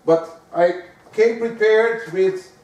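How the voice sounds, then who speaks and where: High-voiced Portuguese man lecturing in an echoey room. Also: takes it slowly, muffled recording. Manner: lively, talks to the audience with frequent rhetorical questions. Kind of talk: lecture on giving presentations. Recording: echoey room, speaker far from the mic.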